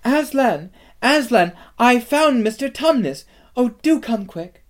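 A man voicing excited young storybook adventurers, calling out in high, urgent exclamations. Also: slow pace, full character voice. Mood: overjoyed, urgent. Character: excited young children and rescuers shouting breathlessly.

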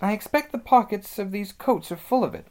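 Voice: mid-range voice, sing-song, brisk, a little mellow, voice pushed up, natural voice. A storybook narrator voicing a practical, fussy schoolgirl, the elder sister of the family. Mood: complaining.

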